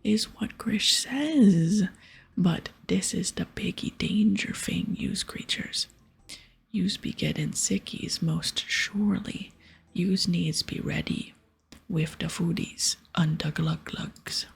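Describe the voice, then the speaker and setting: A woman's voice: fairly low pitch, full character voice. A woman voicing a dim, worried male ogre in whispered, broken baby-talk for a game. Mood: gruff, cautioning. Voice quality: whispered, breathy. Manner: slow, broken childlike grammar.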